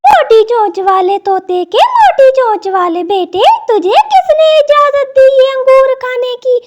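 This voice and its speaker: Very high-pitched bossy cartoon crow. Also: female voice, sing-song, a little slow, darkish tone, highs cut off, full character voice. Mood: bullying. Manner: scolding, taunting. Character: bossy, quarrelsome mother crow, spiteful toward neighbours. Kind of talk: children's cartoon voice acting.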